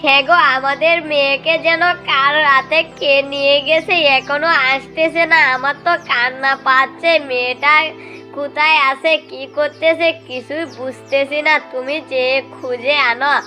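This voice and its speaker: A woman's voice: high-pitched, fairly even intonation, normal speed, sharp tone, soft background music, voice pushed up. A woman voicing a distraught farmer mother in a children's cartoon, anxious and pleading. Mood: anxious, tearful pleading. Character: distraught farmer mother missing her daughter.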